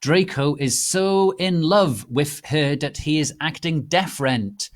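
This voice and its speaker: A man's voice: a little high, slow pace, voice pushed up. A man voicing a defensive, petulant teenage girl author reading out notes to readers, indignant and emphatic.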